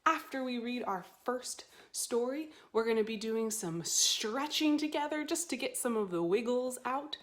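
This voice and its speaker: A woman hosting a storytime for young children, talking to them in an animated way with simple questions and encouragement. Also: medium pitch. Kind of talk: children's storytime presenting to camera. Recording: roomy, echoey sound.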